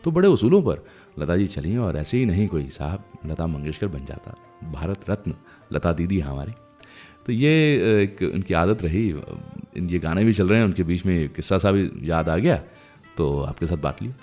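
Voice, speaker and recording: A man's voice: deep voice, animated, moderate pace, mellow. A man hosting a music radio show, telling anecdotes conversationally and speaking directly to his listeners. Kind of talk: chatty music radio storytelling.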